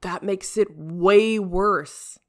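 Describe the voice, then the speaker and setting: A woman's voice: mid-range voice, extremely slow. A woman voicing a laid-back, teasing male firefighter in an audiobook, with a dry and blunt manner.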